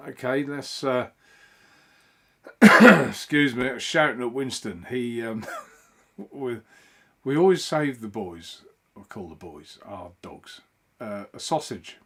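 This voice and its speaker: A man's voice: medium pitch, lively intonation, slow, long pauses, a bit bright, husky. A man hosting a casual live stream from home, chatting in a rambling way and greeting viewers by name.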